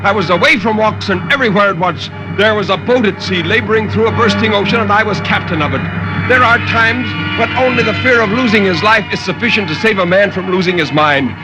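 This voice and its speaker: A man's voice: high-pitched, brisk, loud music behind the voice, close to an everyday voice. An actor playing a stern sea captain who recounts his ordeal in formal, theatrical 1950s radio horror narration. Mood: urgent. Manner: theatrical, intense, formal diction. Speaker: storyteller. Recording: dull, lightly noisy 1950s radio recording.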